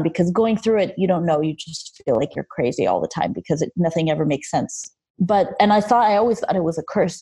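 A woman's voice: lowish voice, dark tone, breathy edge. A young adult woman designer who grew up between Armenia and Los Angeles, talking reflectively and with enthusiasm in an interview, with fillers and restarts. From Armenia, raised in Los Angeles. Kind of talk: casual interview.

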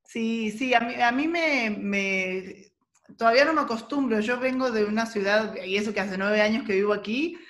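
A woman's voice: on the high side, fairly bright tone. A woman with an Argentine accent hosting an interview in a chatty, friendly way. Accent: Argentine Spanish. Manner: friendly, chatty, quick asides. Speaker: host. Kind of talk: casual interview chat.

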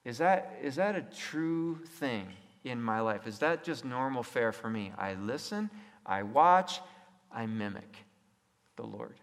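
Slow-paced male preacher in an echoey hall. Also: mid-range voice, lively intonation. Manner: emphatic, with repeated phrases and check-in questions. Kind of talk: sermon with scripture reading and rhetorical questions. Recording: echoey hall with long reverb.

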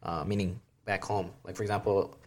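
Relaxed man hosting a podcast. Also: deep voice, brisk. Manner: relaxed, rambling questions with fillers. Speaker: podcast co-host. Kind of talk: casual podcast chat.

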